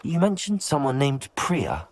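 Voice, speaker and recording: Earnest young student wizard. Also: male voice, medium pitch, wildly swooping pitch, measured pace, exaggerated voice. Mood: curious, polite. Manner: earnest, courteous. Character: polite, brave young student wizard hero.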